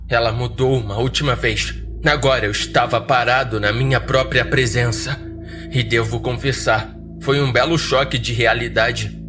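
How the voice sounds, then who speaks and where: A man with a Brazilian accent narrating a first-person horror story in a dry, sardonic, measured way. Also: medium pitch. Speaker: podcast narrator.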